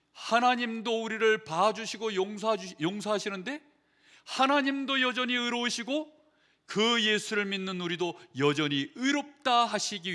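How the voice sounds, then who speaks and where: Man, middle-aged, pastor giving a sermon, animated pitch, fairly high voice, asks questions and tells stories, loud emphasis then soft trailing endings. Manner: conversational, asks the congregation questions, jokes and tells anecdotes; swings from loud emphasis to quiet, trailing phrases.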